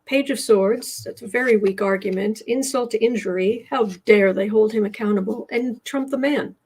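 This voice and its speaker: An American woman hosting a casual tarot livestream, chatting haltingly as she comments and reads out viewer messages, often repeating her words. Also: fairly high pitch, darkish tone, slightly rough. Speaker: host of a live tarot and astrology show.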